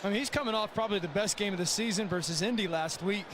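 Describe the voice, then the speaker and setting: A man's voice: sits high, fast. A man working as a color analyst on a football broadcast, explaining plays. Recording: noisy game broadcast feed.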